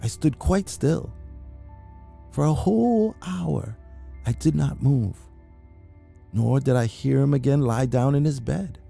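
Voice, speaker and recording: Gravelly, dark-voiced man reading horror. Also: mid-range voice, takes it slowly, pauses often, faint music behind. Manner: intense, brooding delivery with sudden hushed drops and rising outbursts. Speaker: reader. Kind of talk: dramatic reading of a classic horror story.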